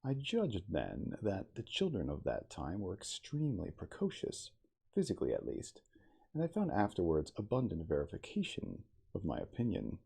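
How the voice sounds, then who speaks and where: A man playing a dignified starship captain, reading a classic novel aloud in a measured, theatrical ASMR audiobook style. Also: sits low, huge pitch swings, vocal fry, warm tone, breathy edge, full character voice. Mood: thoughtful, reflective. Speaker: cosplayer reading aloud as a character.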